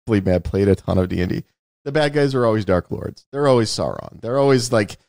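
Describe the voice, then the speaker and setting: A man's voice: fairly low pitch, sing-song, fast talker, gravelly, darkish tone, no echo, clean studio sound. A man co-hosting a casual film discussion, explaining his points emphatically and often rephrasing them. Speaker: podcast co-host discussing films.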